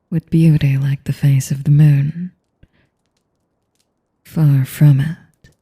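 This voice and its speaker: Ancient vampire mistress played by a woman: dark, velvety tone with creaky edges, sadistic and seductive, whispery taunts for an audio roleplay. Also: full character voice. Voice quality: low, breathy, often dropping to a whisper. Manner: slow, velvety taunting with condescending endearments. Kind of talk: audio roleplay addressed to the listener.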